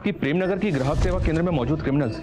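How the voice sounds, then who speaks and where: A man's voice: a little high, very fast, background noise, lightly acted. A man playing an earnest, dutiful police investigator reporting in a TV crime drama. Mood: serious, puzzled. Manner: serious, dutiful. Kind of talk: TV crime re-enactment acting.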